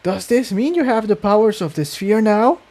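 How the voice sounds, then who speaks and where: Man acting a female cartoon pony, much higher pitch, anxious, eager, urgent, storybook character. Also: animated, normal speed, darkish tone. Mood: eager, questioning. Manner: urgent, questioning.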